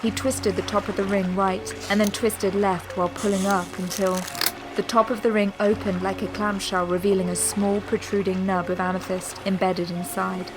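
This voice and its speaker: A woman narrates an audio drama with prominent music behind her. Her voice sits at an average pitch. The delivery is scripted, measured and dramatic. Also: level tone, poor audio.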